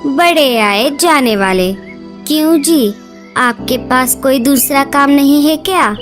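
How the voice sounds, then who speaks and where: A woman voicing a sarcastic, scolding female bird in a children's cartoon, nagging and sharp-tongued. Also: sits high, slow pace, faint music behind. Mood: scornful scolding.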